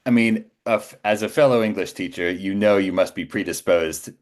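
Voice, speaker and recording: A man's voice: sits low, brisk, darkish tone. A man in his forties speaking as an interview guest, telling anecdotes casually and with self-assurance. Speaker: podcast guest, voice actor.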